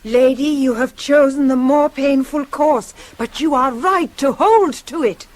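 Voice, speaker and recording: A member of a Greek chorus in a radio drama. Her voice is high, and she speaks with formal, earnest deference, as a moral adviser to a queen. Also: a little slow. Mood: earnest, concerned. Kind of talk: 1980s radio play acting of Greek tragedy.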